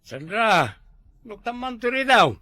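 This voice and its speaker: A man's voice: very high voice, sing-song, measured pace, sharp tone, dry recording, full character voice. A man playing an easygoing, hard-drinking husband full of excuses in a comedy drama, with an Ilocano accent. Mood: self-justifying.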